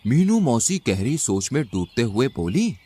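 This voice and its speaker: A man's voice: medium pitch, very animated, measured pace, warm tone, husky. A man narrating a scripted animal fable as a storyteller for a cartoon.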